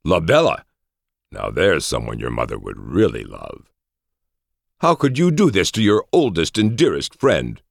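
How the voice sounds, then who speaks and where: A man plays a flamboyant nobleman in a story reading. The voice lifts well above a deep base and swings widely, exclamatory and theatrical, full of mock indignation. The sound is close and dry. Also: sing-song, moderate pace, creaky, no room sound, exaggerated voice. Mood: wounded protest. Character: flamboyant, mock-outraged lord, jovial old friend. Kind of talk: audiobook character voice.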